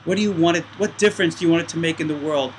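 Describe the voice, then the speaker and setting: A man's voice: on the high side, very fast, a little hiss or hum, rough recording. Rambling, effusive male interviewer. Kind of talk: casual interview.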